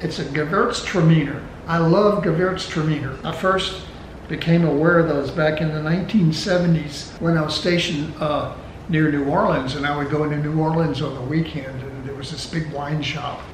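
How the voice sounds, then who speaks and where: An older man in his sixties or beyond, chatting casually at home in a halting, musing way. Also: on the high side, fairly even intonation. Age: 60s or older. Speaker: vlog host's companion. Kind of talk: casual chat while opening gifts. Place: indoors at home, roomy space. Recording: roomy echo, noticeable background noise.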